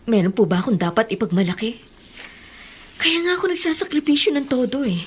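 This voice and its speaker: A woman's voice: fairly high pitch, very animated, fairly quick, slight background noise. A woman with a Filipino accent playing an earnest, protective, self-doubting elder sister in a radio drama. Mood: worried, weary. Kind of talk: Tagalog radio drama acting.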